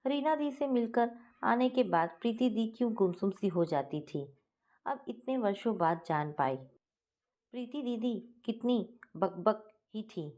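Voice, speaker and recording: A woman's voice: a little high, lively intonation, unhurried, a little mellow. A woman reading a scripted first-person family story as its narrator.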